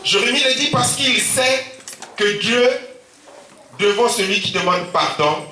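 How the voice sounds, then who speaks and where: A man's voice: high voice, expressive, unhurried, sharp tone. A man preaching a sermon as pastor, with a sore throat and a cold, calling out amen and alleluia often, with a Central African French accent. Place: church hall. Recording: echoey church from a distance.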